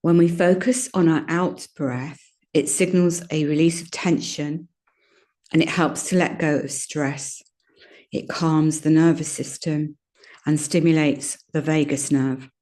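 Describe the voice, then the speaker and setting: A woman leading a guided meditation in a soothing, gentle manner, giving unhurried instructions with pauses. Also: sits low, steady tone. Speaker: yoga nidra meditation guide.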